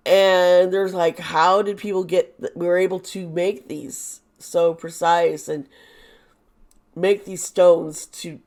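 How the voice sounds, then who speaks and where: A hoarse woman who is losing her voice, talking through a tarot topic in a rambling, chatty way with sarcastic asides. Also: on the low side. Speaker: tarot reader. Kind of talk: casual monologue.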